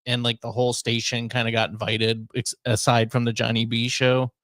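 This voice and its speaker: A man's voice: medium pitch, completely flat delivery, moderate pace, a bit bright, no echo. A man co-hosting a radio show in casual, conversational talk. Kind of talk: casual chat.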